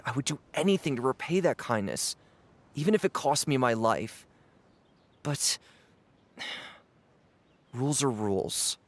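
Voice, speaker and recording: A man voicing a remorseful young man of the house who owns up to breaking the rules in a game. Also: medium pitch. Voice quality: earnest, apologetic. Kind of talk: game voice acting.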